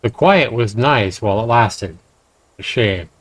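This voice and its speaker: A man's voice: fairly low pitch, lively intonation, unhurried, fairly bright tone, no echo. A man hosting a game livestream, reading narration aloud and dropping in casual comments. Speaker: livestream host.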